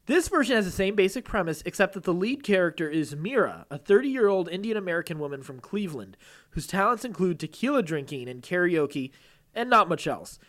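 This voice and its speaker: Higher-voiced man hosting casual podcast chat. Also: fairly bright tone. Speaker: podcast host. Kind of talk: casual nerd-news chat with some read-out news items.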